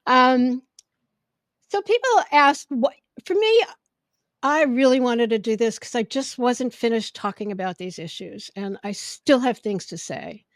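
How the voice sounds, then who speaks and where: Woman podcast host, fairly high voice, loud and projecting, dry close sound. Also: measured pace, no echo. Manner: loud, projecting, gets excited. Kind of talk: casual podcast chat. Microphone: podcast microphone.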